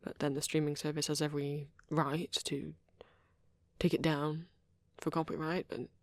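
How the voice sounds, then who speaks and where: A woman voicing a shy, nervous young male vampire in a soft ASMR roleplay, hesitant and stammering, with a breathy, husky voice. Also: low-pitched, level tone, normal speed, dry recording, clean studio sound. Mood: earnest, rambling. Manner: hesitant, stammering, self-doubting. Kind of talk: ASMR boyfriend roleplay, improvised rambling.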